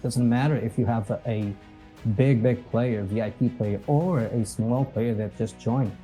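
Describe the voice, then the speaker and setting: Portuguese-accented male guest, deep mellow tone, laid-back and rambling. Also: a little slow, faint music behind. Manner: easygoing, meandering, chuckles at himself. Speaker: podcast guest, company executive. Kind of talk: casual interview conversation.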